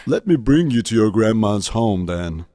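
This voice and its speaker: A man's voice: on the low side, big pitch swings, normal speed, mellow. Man acting a stern hunter, swooping delivery. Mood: protective. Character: stern, protective adult male hunter. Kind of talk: animated fairy tale character acting.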